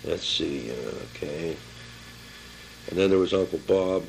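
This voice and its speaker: An elderly American man in his seventies or older reminiscing informally about his life, halting and plain-spoken as he searches his memory. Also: low voice, gravelly, a little hiss or hum, low-quality recording. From Pittsburgh area, Pennsylvania, United States. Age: 70s or older. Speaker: family member recording his life story for a relative.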